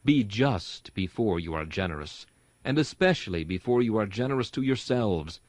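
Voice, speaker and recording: A man reads an old-fashioned sermon in an earnest, exhorting way. His pitch rises and falls expressively with the rhetoric, and the sound is dry and close, free of room echo. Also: expressive, normal speed, a little mellow. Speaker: sermon reader and host. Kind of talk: dramatic reading of a Victorian sermon.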